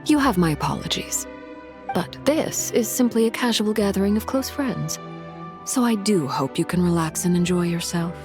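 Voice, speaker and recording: Calm formal game noblewoman, slightly low voice, measured, gracious, music behind. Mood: composed, gracious. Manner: measured, polite. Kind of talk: English video game voice acting.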